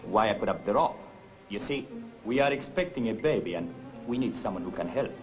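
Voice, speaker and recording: An actor playing a hospitable, anxious Spanish husband in a 1960s film, with a Spanish accent and courteous manner. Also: male voice, on the low side, expressive, a little fast, natural voice, poor audio. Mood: welcoming, hopeful. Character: newly blind Spanish husband, hospitable, anxious expectant father. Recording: 1960s film soundtrack, faint music.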